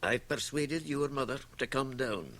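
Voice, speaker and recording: An actor playing a firm, solemn father in a radio drama, measured and authoritative. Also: medium pitch, fairly bright tone, close to an everyday voice. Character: firm, solemn father mediating between wife and son.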